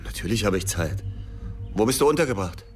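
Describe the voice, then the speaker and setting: Radio drama lead: reflective male narrator, very low voice, dry delivery, music underneath. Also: expressive, brisk. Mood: wry, friendly. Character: Tom, reflective American man, narrator and old comrade.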